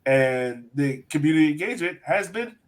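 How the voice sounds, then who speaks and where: A man with a slightly high voice hosts a casual sports podcast. He talks informally with some slang, turning directly to his co-hosts and to the viewers. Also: animated, normal speed. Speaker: podcast host.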